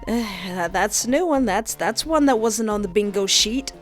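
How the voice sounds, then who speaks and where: A young woman hosting a countdown of game characters, excitable and goofy, often interrupting herself. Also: medium pitch, moderate pace, faint music behind. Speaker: youtube host.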